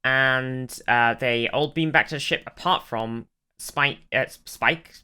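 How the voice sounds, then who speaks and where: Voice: mid-range voice, slow, bright, forceful tone, clear, resonant tone. An informal, enthusiastic podcast co-host who swears freely and chats casually like a devoted fan. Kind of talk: casual chat, recounting TV episodes.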